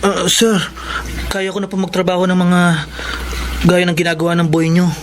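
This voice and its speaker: A man playing a humble, pleading twelve-year-old poor boy in a Filipino radio drama, polite and halting. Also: sits high, flat delivery, a crowd in the background, close to an everyday voice.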